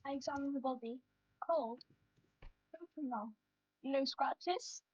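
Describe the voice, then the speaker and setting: A woman improvising first-person roleplay for a game, haltingly narrating her own character's actions. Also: high-pitched, dry recording, dull audio.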